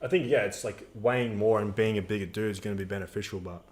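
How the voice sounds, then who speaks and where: A man's voice: on the low side, fast, darkish tone, slight background noise. A young man co-hosting a live Q&A stream, chatting in a laid-back, slangy way. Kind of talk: casual live-stream chat.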